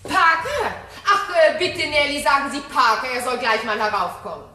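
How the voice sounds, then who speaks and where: An actress in a radio play playing an imperious middle-aged widow, genteel, measured and coolly sardonic. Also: female voice, high-pitched, pushed and bright. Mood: brisk, commanding.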